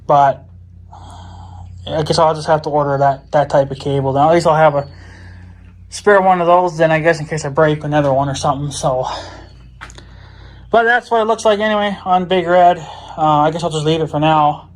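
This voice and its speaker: A man's voice: fairly high pitch, moderate pace, pauses often. A man talking casually to viewers in a hands-on DIY vlog, rambling and thinking aloud in folksy, informal words. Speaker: vlogger.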